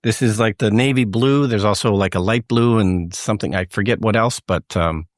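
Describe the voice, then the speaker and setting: A man co-hosting a podcast over a video call, speaking in a measured way with short agreeing replies. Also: on the low side, no room sound, clean studio sound. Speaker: podcast co-host. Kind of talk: casual podcast chat.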